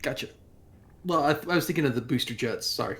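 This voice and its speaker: Male game player, gravelly mid-range voice, casual delivery, light background music. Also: medium pitch, lively intonation, fast talker. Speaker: player in a tabletop roleplaying game. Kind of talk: casual tabletop game talk. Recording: faint music under the voice. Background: soft background music.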